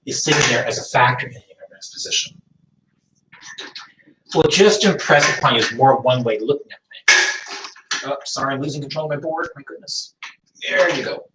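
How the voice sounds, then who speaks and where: A man whose first language is French teaches a calculus class and often stops to rephrase himself. He is recorded from across a classroom with a lot of room echo and a faint hiss underneath. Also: medium pitch. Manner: thinks aloud, restarts and rephrases. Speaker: math teacher. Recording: echoey room, distant mic.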